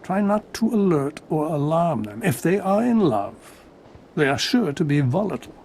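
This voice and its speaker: A stern Jedi master in a video game. His voice is fairly high, with a formal, moralizing manner full of suspicion. A faint rumble sits behind him. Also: big pitch swings, moderate pace, darkish tone. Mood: grave, admonishing.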